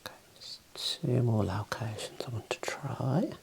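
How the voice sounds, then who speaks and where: A man in his thirties whispering softly and breathily in a calm, gentle ASMR roleplay as an examiner giving instructions, close to the mic in a small room. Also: medium pitch, measured pace, vocal fry. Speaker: ASMR host playing an eye examiner.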